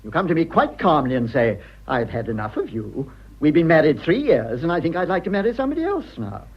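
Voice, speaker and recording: Petulant posh British husband, fussy and patronising, very animated pitch, period radio drama, slightly muffled sound. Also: male voice, brisk, husky, full character voice. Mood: scornful, petulant. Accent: British upper-class RP. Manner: fussy, spluttering, condescending. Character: prim, petulant upper-class husband, politician with taste for decoration.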